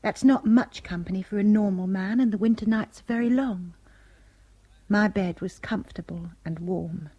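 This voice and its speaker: An actress playing a young female housemaid on a remote island, who speaks in a hushed, confiding way in a radio drama. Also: mid-range voice, moderate pace, husky, no echo, lo-fi sound, lightly acted. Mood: secretive. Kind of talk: 1970s radio drama acting.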